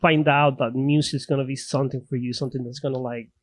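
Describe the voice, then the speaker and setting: A man co-hosting in a casual chat, speaking haltingly as he searches for his words. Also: mid-range voice, level tone. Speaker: podcast co-host.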